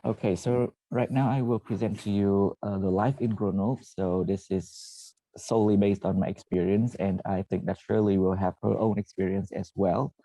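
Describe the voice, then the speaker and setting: Man from Vietnam, very low gravelly voice, mellow dark tone, presentation, halting with fillers. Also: steady tone, no room sound, low-quality recording. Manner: halting, frequent "uh" and self-corrections. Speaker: former PhD student presenting. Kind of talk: presentation to students.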